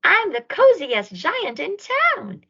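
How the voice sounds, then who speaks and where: A woman voicing a kind, generous male giant in a children's storybook, in a hearty, expressive manner. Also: very high-pitched, slow pace, muffled recording, pitched above the performer's own voice, exaggerated voice. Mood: delighted.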